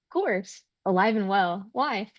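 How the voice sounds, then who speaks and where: A woman's voice: mid-range voice, lively intonation, unhurried, no echo, lightly acted. Slightly muffled, relentlessly upbeat young-film heroine, a woman acting. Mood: cheerful. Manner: chipper, breezy. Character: relentlessly upbeat female crash survivor, brightly cheerful. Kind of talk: table read of a short film. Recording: slightly dull, lo-fi sound.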